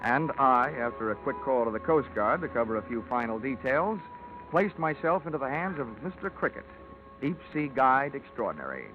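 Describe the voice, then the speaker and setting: A man's voice: mid-range voice, sing-song, normal speed, close to an everyday voice. Music sits behind a tinny vintage radio recording. A hard-boiled investigator played by a man speaks in a mid-range voice, sardonic and sure of himself, like the lead of a detective serial. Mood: narrating, brisk. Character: wry, tough, self-assured male insurance investigator.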